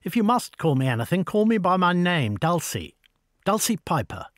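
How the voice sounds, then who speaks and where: A man voicing a tall, proud older woman with an upper-class English accent, brisk and wry in an audiobook. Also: sits high, sing-song, no room sound, studio quality, pitched above the performer's own voice. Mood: commanding. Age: over forty. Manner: brisk, commanding, wry and talkative. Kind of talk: audiobook dialogue.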